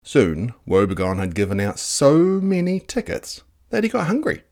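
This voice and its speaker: A narrator reading a children's book aloud in a dramatic, playful, expressive style. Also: medium pitch, moderate pace. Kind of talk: expressive storybook read-aloud.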